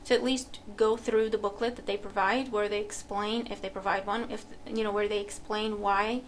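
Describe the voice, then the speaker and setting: A woman's voice: medium pitch, level tone, normal speed, a little hiss or hum. A woman from Romania, a tarot reader who muses aloud while unboxing a deck at her table. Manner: musing, thinking aloud with fillers and restarts. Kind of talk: casual unboxing commentary. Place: home room at a table.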